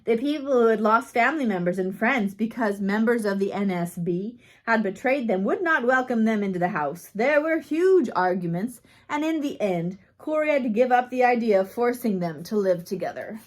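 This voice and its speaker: A woman narrates a written biography aloud. Her voice sits a little higher than most women's. She reads in measured phrases, in the style of an audiobook. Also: animated. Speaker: audiobook reader.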